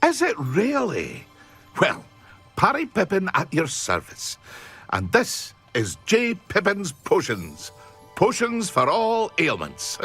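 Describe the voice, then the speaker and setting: Chatty cheerful potion shopkeeper. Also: male voice, high-pitched, huge pitch swings, slow pace, fairly bright tone, slightly rough, soft background music, full character voice. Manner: eager, talkative, fond of his craft. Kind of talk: video game cutscene.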